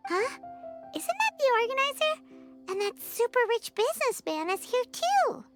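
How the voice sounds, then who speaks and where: Squeaky little fairy girl. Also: moderate pace, over music. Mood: surprised. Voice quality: squeaky, childlike. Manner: excitable, blunt. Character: small perky floating fairy companion girl, outspoken and childlike. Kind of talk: video game voice acting.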